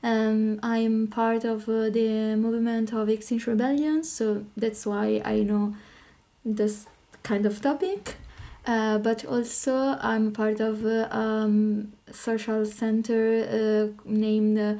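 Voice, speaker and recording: A woman from Italy with an Italian accent, talking as a podcast guest in halting, hesitant phrases. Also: a little high, level tone, mellow. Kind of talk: informal interview talk.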